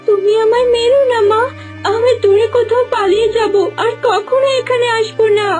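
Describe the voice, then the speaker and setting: Woman as a very high-voiced young village girl. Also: steady tone, moderate pace, loud music behind the voice. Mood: pleading. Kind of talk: cartoon dubbing.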